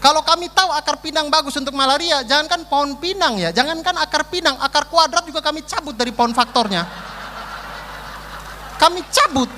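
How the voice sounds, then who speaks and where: A man's voice: very high voice, brisk, sharp tone. A man with an eastern Indonesian accent performing stand-up comedy, repeating phrases for emphasis. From East Nusa Tenggara, eastern Indonesia. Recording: reverberant hall, faint noise.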